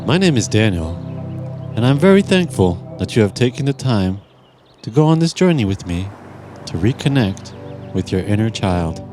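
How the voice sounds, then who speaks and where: A man narrating a guided meditation in a gentle, soothing, deliberate manner with long pauses. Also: fairly low pitch, sing-song. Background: music.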